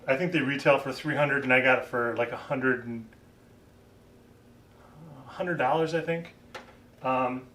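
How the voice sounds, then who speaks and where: Guy doing a laid-back unscripted review, kinda halting, echoey room, mic a bit far off. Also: medium pitch, sharp tone. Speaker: vlogger reviewing vintage hi-fi gear. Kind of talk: casual unscripted product talk. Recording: roomy echo, distant microphone.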